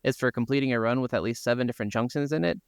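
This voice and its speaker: A man's voice: medium pitch, very fast, smooth, no room sound, clean studio sound. A man co-hosting a podcast, chatting casually about games with some offhand swearing. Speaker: podcast co-host. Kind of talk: casual gaming podcast chat.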